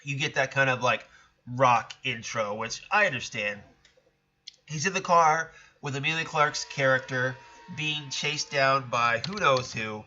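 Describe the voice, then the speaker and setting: A man's voice: mid-range voice, measured pace, bright, forceful tone, muffled recording, slight background noise. A man hosting a casual solo commentary show, talking in a chatty, rambling way with a stuffed-up, nasal voice. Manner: chatty, rambling, self-correcting. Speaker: podcast host.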